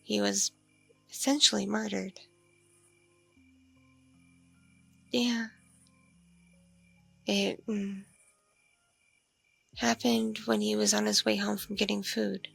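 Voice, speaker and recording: Girl doing a vampire mom for asmr, husky n breathy, coy and lonely, talks real slow. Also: medium pitch, level tone, soft background music, heavily acted. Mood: subdued. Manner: slow, hesitant musing with soft hums. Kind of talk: ASMR audio roleplay, intimate one-sided conversation.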